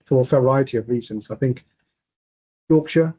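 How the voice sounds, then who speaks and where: Husky man. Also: mid-range voice, moderate pace. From Hong Kong. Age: 40s. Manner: relaxed, wry, conversational. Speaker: podcast guest. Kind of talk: casual chat. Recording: dull, muffled podcast audio.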